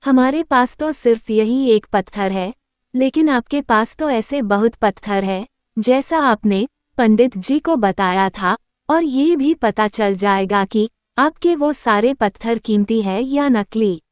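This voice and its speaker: A woman's voice: fairly high pitch, slow, smooth, no room sound, clean studio sound. A woman voicing a sensible, shrewd village priest's wife in a cartoon story, speaking firmly and with assurance. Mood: shrewd, challenging. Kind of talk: Hindi cartoon story dialogue.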